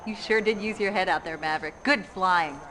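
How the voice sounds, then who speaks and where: An actress playing a confident, competitive young Navy fighter pilot, crisp and self-assured, in a video game. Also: female voice, on the low side, a little fast, fairly bright tone, soft background music, close to an everyday voice, poor audio. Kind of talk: acted game cutscene dialogue.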